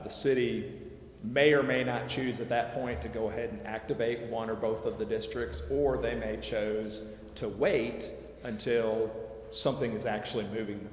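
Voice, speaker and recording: Low-voiced man explaining in an echoey hall. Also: steady tone, normal speed. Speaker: presenter answering committee questions on project financing. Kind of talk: explanatory public meeting presentation. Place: large echoey council chamber. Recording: echoey hall reverb, clear background noise.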